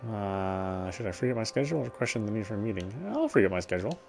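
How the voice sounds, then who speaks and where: A man's voice: lowish voice, big pitch swings, fast talker, creaky. Man reading game narration over music. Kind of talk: dramatic reading of first-person game narration. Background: background music.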